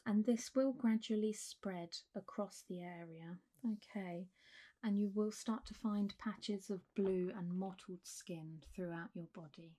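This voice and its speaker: The undead physician of a late-night ASMR clinic roleplay, acted by a woman, murmuring mellow, gentle reassurance across the examination couch. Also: medium pitch, measured pace, lightly acted. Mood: reassuring. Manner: gentle, reassuring, hesitant, slipping into whispers. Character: calm, reassuring zombie doctor running a specialised clinic. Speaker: doctor in a roleplay. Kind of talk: soft-spoken ASMR roleplay.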